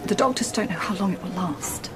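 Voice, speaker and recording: A woman's voice: mid-range voice, brisk, lightly acted. An actress playing a shaken, anxious woman colleague in a radio drama, sounding upset. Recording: clear background noise.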